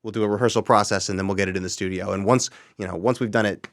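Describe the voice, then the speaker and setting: A man's voice: lowish voice, steady tone, rapid-fire, no echo, clean studio sound. A man being interviewed about the audio drama he creates, chatting casually with effusive, hyperbolic enthusiasm. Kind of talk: casual, animated group interview chat.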